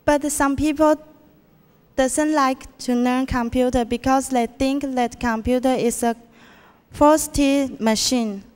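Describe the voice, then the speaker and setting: A teenage girl with a Taiwanese accent works slowly through an English contest speech, hesitating and restarting as she goes. Her voice is smooth and slightly high. A long hall reverb hangs on every word. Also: fairly even intonation, darkish tone. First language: Mandarin Chinese. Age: teens. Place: school auditorium.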